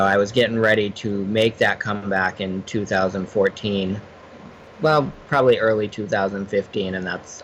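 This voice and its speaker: A man being interviewed as a podcast guest, talking casually and haltingly, often repeating words as he starts. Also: on the low side, fairly bright tone, slight background noise, rough recording. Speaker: podcast guest, retired fighter and photographer.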